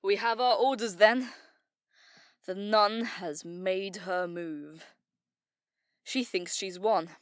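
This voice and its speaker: A woman's voice: mid-range voice, no echo, studio quality, natural voice. A woman playing a resolute team leader. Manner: commanding, measured.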